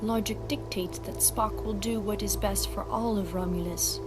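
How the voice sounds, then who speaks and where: A woman's voice: mid-range voice, fairly even intonation, normal speed, loud music behind the voice, natural voice. A woman playing a devoted, earnest Romulan follower of logic, with formal, careful phrasing in a sci-fi audio drama.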